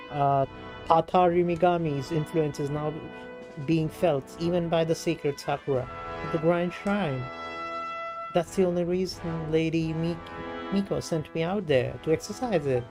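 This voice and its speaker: A man's voice: on the high side, close to an everyday voice. Man reading a scolding, haughty shrine maiden. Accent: Indian. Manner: lecturing, sharp-tongued.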